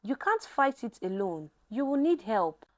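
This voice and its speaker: A haggard, menacing man as a woman narrator plays him in a dramatic tale. The delivery is cold and ominous, in a high voice with wide pitch swings. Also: very animated, unhurried. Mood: grim warning.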